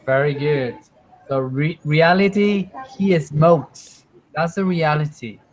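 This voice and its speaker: Slow, patient male English teacher. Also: a little high, rough recording. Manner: slow, patient, repeats himself. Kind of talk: online class teaching. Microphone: computer mic on a video call.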